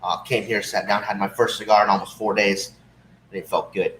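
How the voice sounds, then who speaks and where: A man co-hosting a cigar show, slightly hoarse, chatting casually and rambling with many fillers. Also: fairly low pitch, flat delivery, brisk, a bit bright, slight background noise. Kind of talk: casual livestream chat. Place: cigar shop lounge. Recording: roomy shop with faint ambience.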